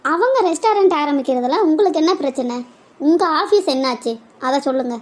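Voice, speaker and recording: A woman's voice: sits high, expressive, fairly quick, darkish tone. A woman voicing a suspicious, businesslike wife in a cartoon parody, questioning her husband firmly. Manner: questioning, firm.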